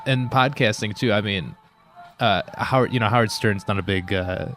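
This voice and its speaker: A man's voice: lowish voice, fairly bright tone, faint music behind. Rambling young comedian in his twenties. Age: 20s. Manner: rambling, quick, heavy on fillers like "like" and "you know". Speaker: stand-up comedian. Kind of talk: casual chat over a meal. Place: burger bar.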